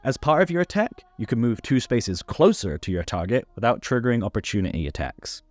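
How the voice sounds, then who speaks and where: A man's voice: mid-range voice, big pitch swings, brisk, no room sound. A man narrating a scripted tabletop game rules guide, instructional with playful asides. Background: background music.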